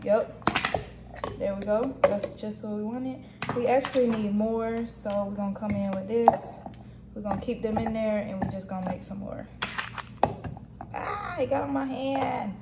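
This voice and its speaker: A woman's voice: a little high, steady tone, measured pace, long pauses. A young American woman casually demonstrating cooking to viewers in a chatty, slangy way, recorded in a home kitchen. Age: young adult. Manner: informal, chatty, folksy slang. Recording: echoey kitchen with noticeable background noise.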